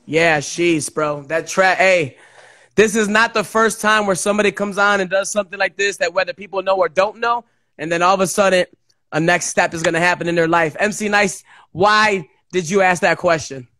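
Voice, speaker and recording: Man in his thirties, high pitch, excitable slangy host, casual livestream, dry close mic. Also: normal speed, no echo. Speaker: host of live music show. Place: small indoor room. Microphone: close studio microphone with headphones.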